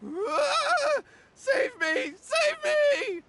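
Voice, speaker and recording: An actor playing a cowardly, guilt-ridden schemer in an anime-style video game, stammering and pleading. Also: male voice, very high voice, sing-song, extremely slow, shouting, very noisy, heavily acted. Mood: terrified. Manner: stammering, pleading, breaking into shrieks when frightened. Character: cowardly, guilt-ridden scheming man confessing to an occult wish. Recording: background music under the voice.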